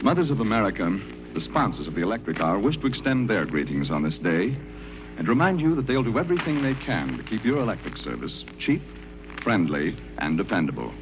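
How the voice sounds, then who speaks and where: A man with a low, lively announcer's voice reads a 1940s radio advertisement. His pitch moves freely through the copy. The sound is a dull vintage broadcast with music behind him. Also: a little fast, heavy background noise. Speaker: commercial announcer.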